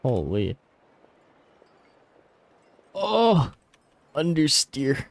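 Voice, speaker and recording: A man's voice: a little high, wildly swooping pitch, drawn-out words, no room sound. A man chatting casually as a streamer, slangy, enthusiastic and prone to swearing. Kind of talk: casual gaming stream chat. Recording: faint noise, vehicle-like ambience.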